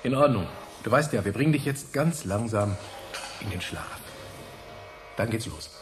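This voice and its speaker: An actor playing a reassuring scientist in a radio drama, coaxing and deliberate as he guides a test subject. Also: fairly low pitch, expressive, soft background music, close to an everyday voice. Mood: calm, encouraging. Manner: soothing, deliberate, coaxing instructions. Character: reassuring male scientist leading a time-travel experiment.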